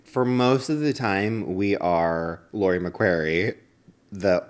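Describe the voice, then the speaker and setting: A man co-hosting a casual comedic podcast, telling stories in a halting, rambling way full of filler words. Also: low voice, smooth. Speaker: podcast co-host.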